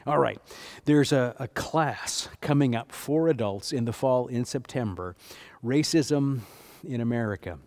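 Older male pastor, mid-pitched, lively church announcer, sanctuary. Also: unhurried.

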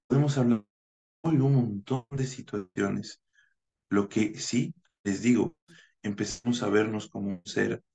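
A man in his thirties from Bolivia, a naturopath giving a measured online conference lecture over a webcam, with frequent hesitation fillers. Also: lowish voice, vocal fry. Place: home room, indoors. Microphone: video call webcam.

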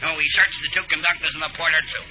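A man's voice: on the high side, little pitch movement, racing, lightly acted. A man playing a weary, blunt police sergeant with colloquial slang in 1940s radio acting. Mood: weary, exasperated. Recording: muffled vintage radio broadcast.

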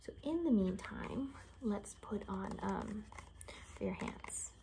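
A young woman in a whispered ASMR roleplay, rambling casually in a soft, breathy, chatty way. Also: medium pitch, lively intonation, slow, poor audio. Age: young adult. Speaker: ASMR creator playing an attendant. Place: small bedroom indoors.

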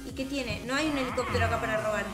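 High-voiced young Argentine-sounding woman. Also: rapid-fire, roomy sound. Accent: Rioplatense Spanish. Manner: expressive, asks many questions. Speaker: video game streamer. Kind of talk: improvised gameplay roleplay chat. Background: music.

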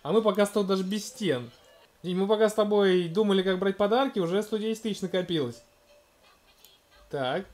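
A man in his thirties casually commenting on a video game in a gentle, coaxing way, talking to a small child beside him at home. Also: high-pitched, fairly quick, long pauses. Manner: gentle, coaxing, talks to the child, narrates what is on screen. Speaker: let's-play host playing with his toddler.

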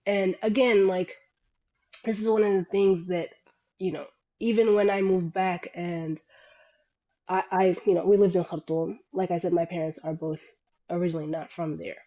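A woman in her thirties speaking as an interview guest on a video call, thoughtful and halting, with many restarts. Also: on the low side, steady tone. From Sudanese parents, grew up in America. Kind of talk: reflective interview answers. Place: small room indoors. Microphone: earbuds on a video call. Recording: dull lo-fi call audio.

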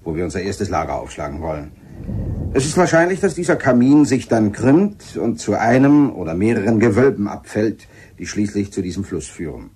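An actor playing an experienced, matter-of-fact cave explorer in a German radio play. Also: male voice, on the low side, big pitch swings, brisk, dark tone, a little hiss or hum. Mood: earnest, matter-of-fact.

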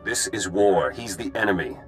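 An actor playing a stern, driven freedom fighter in a video game, with a clipped, businesslike manner. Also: male voice, deep voice, animated, normal speed. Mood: firm. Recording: music playing behind the voice.

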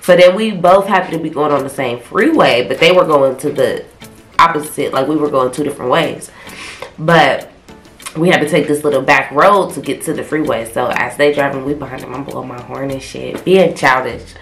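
Young woman, congested with a cold, low-pitched, casual slangy storyteller, roomy echo and faint music behind. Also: normal speed. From Ohio, US. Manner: loose, slangy, talkative, gestures a lot. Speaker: vlogger. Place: small bedroom in front of a pink wall. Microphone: camera a few feet away.

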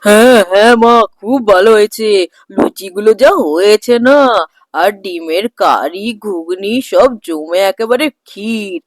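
A man's voice: sits high, measured pace, dry recording. A man playing a gluttonous young son-in-law in a cartoon folk tale, coaxing and always chattering about food. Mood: delighted, craving.

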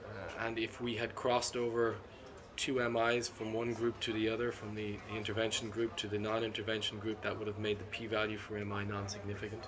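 A middle-aged man, a cardiologist being interviewed at a conference, talks in a measured, analytical way with frequent ums and restarts. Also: fairly low pitch, monotone, a crowd in the background. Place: seated at a conference booth indoors. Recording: constant conference hall ambience.

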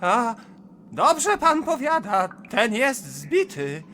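An actor playing a timid, simple young mason in a verse radio play, hesitant, deferential and plaintive. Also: male voice, extremely high pitch, sing-song, drawn-out words, bright, forceful tone, a little hiss or hum, full character voice.

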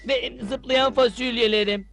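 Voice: mid-range voice, fairly even intonation, measured pace, fairly bright tone. An actor playing a mischievous, cowardly boy prankster in a cartoon dub, with a taunting and whiny manner. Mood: sly, boastful. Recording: music behind the voice.

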